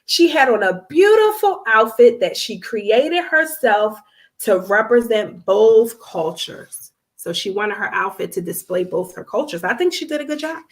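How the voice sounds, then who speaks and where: Slow, animated woman reading to children. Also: fairly high pitch. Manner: expressive, addresses young listeners directly. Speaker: children's book author reading aloud. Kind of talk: storybook read-aloud to children.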